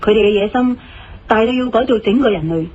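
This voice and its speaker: An actress playing a sharp, confident woman who reasons things out deliberately in a Cantonese audio drama, with a Hong Kong accent. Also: on the high side, slight background noise, close to an everyday voice. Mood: assured, probing. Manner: deliberate, didactic, assured. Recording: slightly muffled radio drama, music underneath.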